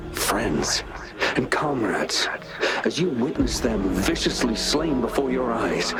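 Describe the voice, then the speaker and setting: An actor playing a ruthless, war-weary general in a dramatic video game monologue, speaking deliberately and intensely, with pauses. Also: male voice, lowish voice.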